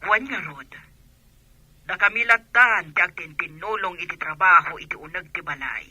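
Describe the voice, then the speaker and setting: Radio drama role: middle-aged mother overseas, played by a woman, telephone voice, caring, piercing and raspy. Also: fairly low pitch, normal speed, bright, forceful tone, no room sound, exaggerated voice.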